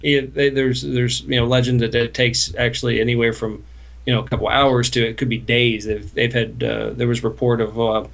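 A man co-hosting a podcast, explaining things casually and haltingly like an earnest know-it-all. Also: mid-range voice, level tone, creaky. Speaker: podcast co-host. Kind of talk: casual explaining in group chat.

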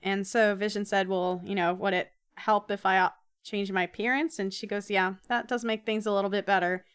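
Clear-voiced woman co-hosting a casual podcast. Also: mid-range voice, steady tone, brisk, a bit bright. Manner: teasing, conversational, reads out quoted dialogue. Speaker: podcast host. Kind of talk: casual chat recapping a comic.

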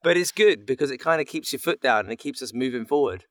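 A man's voice: mid-range voice, lively intonation, fast talker, a bit bright, no room sound, studio quality. A man talking casually as a voice actor guest in an interview.

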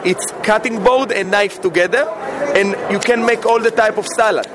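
A man's voice: high-pitched, steady tone, moderate pace. A man with an Israeli accent, speaking broken English as he eagerly demonstrates his own kitchen peeler at a trade show. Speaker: product inventor at trade show. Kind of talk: casual interview. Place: busy trade show floor. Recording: crowd and noise of busy expo.

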